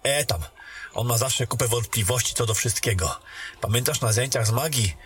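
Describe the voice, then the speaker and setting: A man voicing a weary warrior back from a mission in a fantasy audio drama, speaking in a calm, matter-of-fact way. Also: medium pitch, moderate pace, a little hiss or hum, lightly acted.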